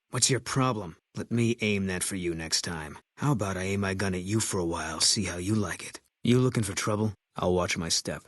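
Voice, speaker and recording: A man playing a brooding military sniper companion in a video game, speaking in clipped, guarded, deadpan lines. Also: lowish voice, husky, no room sound, studio quality, heavily acted.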